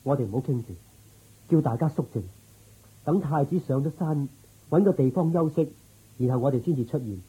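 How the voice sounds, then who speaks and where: An actor playing a dutiful, inquisitive military officer in a radio drama, with a musing way of talking. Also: male voice, on the high side, a little fast, long pauses, warm tone, breathy edge, highs cut off. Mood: businesslike. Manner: musing, questioning.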